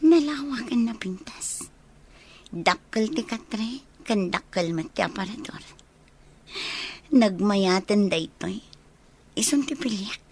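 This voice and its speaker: An actress playing an impressed, covetous young woman visitor in an Ilocano radio comedy drama, often slipping into breathy asides. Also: mid-range voice, lively intonation, takes it slowly, long pauses, a little mellow, a little hiss or hum. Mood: awed, scheming.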